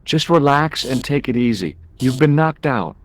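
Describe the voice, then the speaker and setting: An actor playing an earnest, wry young American amateur sleuth in an adventure game, speaking with an American accent. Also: male voice, medium pitch, animated, normal speed, dry recording, lightly acted. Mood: calm, inquiring. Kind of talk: adventure game dialogue and narration.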